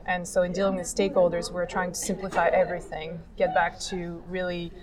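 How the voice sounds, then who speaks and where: A woman from France, answering interview questions in a measured way with frequent ums at a conference. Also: on the low side, little pitch movement. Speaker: industry association leader. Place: conference venue. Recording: busy crowd chatter behind.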